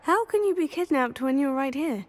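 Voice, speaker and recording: An actress playing a polite, helpful teenage student adventurer in a video game, courteous and composed. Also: female voice, sits high, lively intonation, normal speed, no echo. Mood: concerned. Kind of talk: scripted game acting.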